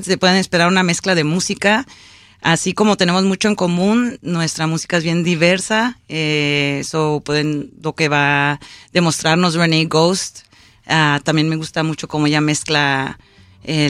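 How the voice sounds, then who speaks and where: A woman's voice: low-pitched, a bit bright, dry recording. Woman guest switching into English. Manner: hesitant, many restarts and fillers, mixes in English. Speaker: festival organizer and musician. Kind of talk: casual interview guest.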